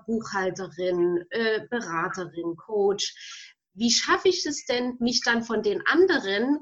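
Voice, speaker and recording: A woman with a cold hosts an interview podcast, her voice a touch higher than most. She asks questions in a friendly, measured way. The recording sounds dull and lo-fi. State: has a cold. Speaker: podcast host.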